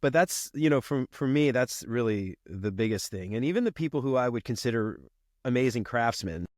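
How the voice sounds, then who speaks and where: A man's voice: mid-range voice, a little fast, no echo, studio quality. Congested man, chatty. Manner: relaxed, rambling, frequent fillers and laughs. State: congested from a cold. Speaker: podcast guest, podcast host himself. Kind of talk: casual conversation.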